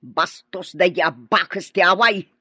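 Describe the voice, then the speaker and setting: A woman's voice: on the low side, unhurried, bright, forceful tone, breathy edge, no room sound, full character voice. A woman with an Ilocano accent playing an indignant, quarrelsome older village sister in a radio drama.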